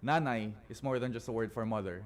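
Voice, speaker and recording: A man with a Filipino accent performing spoken word poetry as a guest, in deliberate, dramatic phrases with weighted pauses. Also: mid-range voice. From Philippines.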